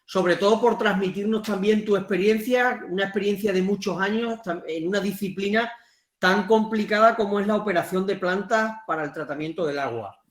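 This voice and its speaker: A man hosts an online webinar, introducing speakers in a polite, brisk way. His voice sits fairly high. The call audio has a roomy echo around it. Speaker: webinar host. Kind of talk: hosting a webinar. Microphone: video call.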